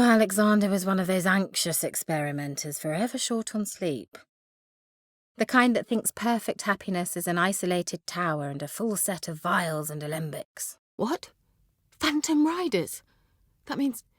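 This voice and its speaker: An actress voicing a haughty, witty, sly sorceress in a video game, with an arch, formal and sardonic way of talking. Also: female voice, mid-range voice, dry recording, studio quality, lightly acted. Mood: coolly dismissive, then surprised, questioning. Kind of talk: video game character dialogue.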